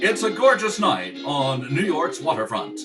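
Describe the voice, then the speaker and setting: A man's voice: fairly high pitch, big pitch swings, measured pace, loud music behind the voice, pitched above the performer's own voice. Grandiose 1930s radio announcer played by a man. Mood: atmospheric narration. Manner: emphatic, theatrical. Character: grandiose old-time radio announcer and scene narrator. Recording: slightly distant shared home microphone.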